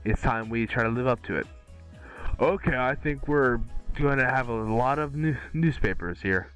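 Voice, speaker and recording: A man's voice: medium pitch, moderate pace, a bit bright, smooth, soft background music. A man reading dramatic movie dialogue casually at an amateur table read, with occasional stumbles.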